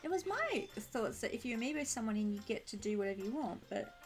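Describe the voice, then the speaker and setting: A woman with a slightly croaky throat chatting casually and bubbly to viewers while live streaming a game, affectionate and quick to giggle. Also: on the high side, big pitch swings. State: croaky throat, needs clearing. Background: music.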